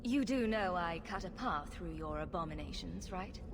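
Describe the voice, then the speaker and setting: A woman's voice: on the low side, animated, moderate pace, in a large hall, background noise. An actress playing a bold, sarcastic female rogue adventurer in a video game, with a dry, wry and direct delivery.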